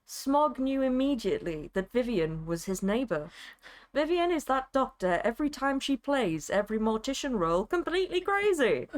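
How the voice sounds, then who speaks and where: A woman's voice: sits high, big pitch swings, moderate pace. A woman reading a story aloud in a theatrical, actorly dramatic style, as a streamer would. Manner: actorly, breaking into amusement at the absurd text.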